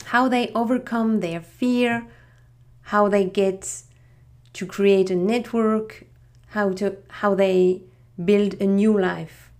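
Middle-aged French-accented woman, native French speaker, podcast host, slow and reflective storytelling. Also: medium pitch, darkish tone. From France. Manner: unhurried, reflective, with frequent restarts.

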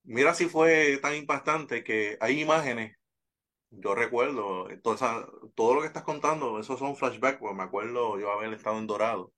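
A man interviewing a wrestler, asking questions in a relaxed, conversational way. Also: mid-range voice, normal speed.

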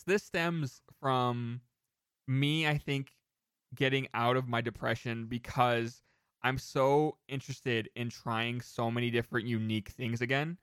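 A man co-hosting a casual podcast, telling rambling, animated anecdotes with some casual swearing. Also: medium pitch, smooth, no room sound, studio quality. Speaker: podcast co-host.

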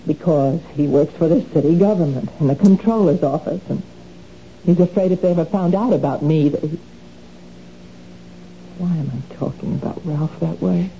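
A nervous guilt-ridden wife. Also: female voice, low voice, normal speed, creaky, dark tone, a little hiss or hum. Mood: agitated. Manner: defensive, pleading. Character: anxious woman caught shoplifting again. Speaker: troubled wife. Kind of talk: 1970s radio drama acting. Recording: dull old radio broadcast.

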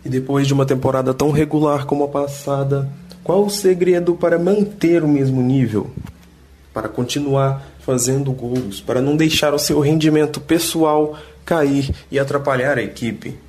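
A male sports reporter reading interview questions and scripted narration in a measured, formal way. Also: medium pitch, steady tone, a little mellow, soft background music.

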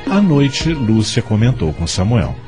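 A man's voice: medium pitch, very animated, unhurried. A man with a Brazilian accent narrating a scripted radio drama as storyteller. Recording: music under the voice.

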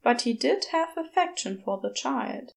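Female story narrator, higher register, leisurely measured reading of scripted fiction. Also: animated, gravelly. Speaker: podfic narrator.

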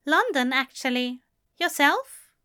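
A woman puts on a prim, fussy gentleman whose voice rises high and lilting. He speaks with a polite, precise, faintly indignant primness and light, lively phrasing. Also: takes it slowly, full character voice. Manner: prim, lilting, higher and brighter.

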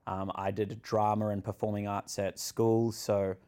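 A man's voice: on the low side, flat delivery, unhurried, warm tone. A man, a podcast guest telling personal stories in a rambling way, laughing as he goes. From New Zealand. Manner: rambling, laughs through his stories, many fillers. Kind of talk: candid personal storytelling.

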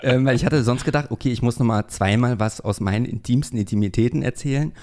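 A man's voice: mid-range voice, fast talker, darkish tone, slight background noise. A man hosting a casual podcast interview, chatty, with many fillers and self-corrections. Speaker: podcast host. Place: room in a Berlin flat.

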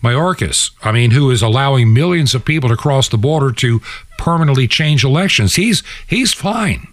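A man's voice: medium pitch, expressive, normal speed, dry recording. A man hosting a radio show, delivering an opinionated political monologue in an emphatic, conversational way with rhetorical asides. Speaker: radio and podcast host.

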